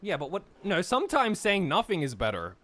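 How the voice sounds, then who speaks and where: A man chatting casually with friends while playing a game and reading its text aloud, animated and exclamatory. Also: sits high, fairly quick. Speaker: host of a group visual novel playthrough. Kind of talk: casual group gaming chat with reading aloud.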